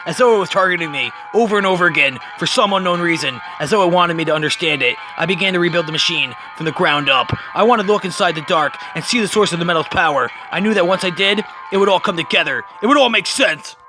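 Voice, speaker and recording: Guy doing a broody masked vigilante, lowered solemn voice, obsessive and grave, music behind. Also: lively intonation. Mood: brooding. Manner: grave, solemn, deliberate dramatic delivery.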